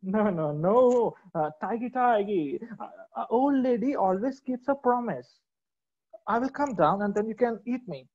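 A woman's voice: fairly high pitch, expressive, unhurried, darkish tone, highs cut off. A woman playing a clever thin old lady. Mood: earnest.

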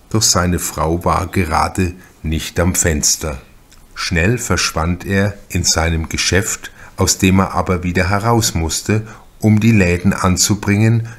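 A man reading a crime novel as an audiobook narrator, in a measured, deliberate manner with careful pauses. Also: low voice.

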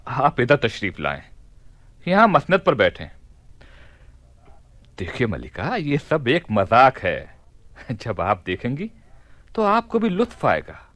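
An actor playing a commanding, mischievous caliph in a theatrical radio play. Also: male voice, medium pitch, huge pitch swings, fairly bright tone, no echo, full character voice. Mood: amused, persuasive. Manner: imperious, theatrical, deliberate. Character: commanding, mischievous caliph planning a prank in his palace. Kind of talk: Hindi radio play acting.

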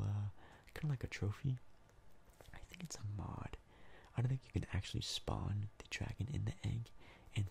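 A young man whispers his way through casual gameplay commentary in an ASMR style. His voice is low and heavily crackled with fry, breathy and soft, and he rambles along hesitantly. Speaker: ASMR gaming YouTuber.

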